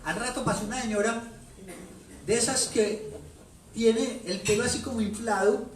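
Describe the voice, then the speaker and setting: Man, Colombian paisa accent, playful storyteller, high pitch, echoey distant room sound. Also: fairly even intonation, moderate pace, a little hiss or hum. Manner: playful, storytelling, repeats phrases for effect. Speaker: author at book launch. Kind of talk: animated talk to an audience. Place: bookstore event room. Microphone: handheld microphone.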